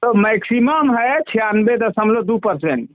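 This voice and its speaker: A man with a Jharkhandi Hindi accent explaining a complaint over the phone, halting and repeating himself as he goes. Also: high voice, expressive. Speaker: MP's representative, interviewee. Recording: dull lo-fi phone call recording.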